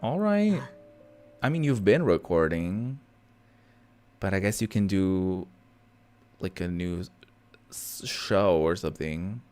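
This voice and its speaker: Very low-pitched expressive game streamer. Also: takes it slowly, long pauses. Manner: expressive, reactive, slangy. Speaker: streamer playing and reading out a visual novel. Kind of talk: casual gameplay commentary mixed with narrated reading. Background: game music.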